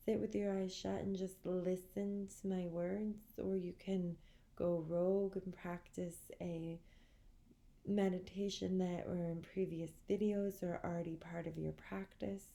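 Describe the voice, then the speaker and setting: Slow, gravelly-edged woman guiding relaxation. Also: on the low side, little pitch movement. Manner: soothing, gentle, measured with long pauses. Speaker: guided meditation instructor. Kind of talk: guided relaxation meditation.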